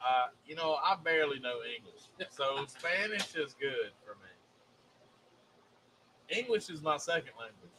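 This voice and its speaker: A Southern man from Mississippi, telling stories and laughing as he co-hosts a relaxed talk show. His voice sits high and sounds bright and forward, with a faint hiss behind it. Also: unhurried, low-quality recording. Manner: folksy storyteller, laughs often. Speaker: co-host. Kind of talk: casual chat.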